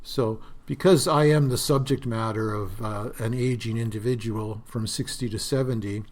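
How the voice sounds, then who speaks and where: Raspy-voiced man in his sixties. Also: fairly low pitch, fairly even intonation, normal speed, darkish tone. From Canada. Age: 60s. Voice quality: slightly raspy, dry voice. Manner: rambling, reflective, frequent uh fillers. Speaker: podcast host. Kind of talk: solo podcast monologue.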